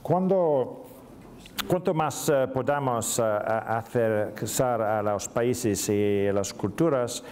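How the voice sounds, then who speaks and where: A middle-aged British man, a native English speaker, giving a formal conference speech in a second language with a British accent and often pausing on fillers. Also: medium pitch, darkish tone, slight background noise. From Britain.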